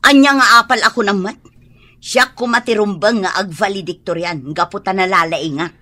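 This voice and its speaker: A woman's voice: medium pitch, normal speed, pushed and bright. A woman playing a resentful, scolding middle-aged mother in a Filipino radio drama. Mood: resentful, defensive.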